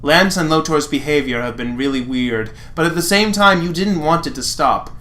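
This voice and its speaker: A sardonic fan fiction reader of unclear gender in a mid-range voice. The delivery swings widely in pitch, moving between dramatic narration and mocking asides. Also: lively intonation, moderate pace, fairly bright tone. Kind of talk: dramatic reading with sarcastic asides.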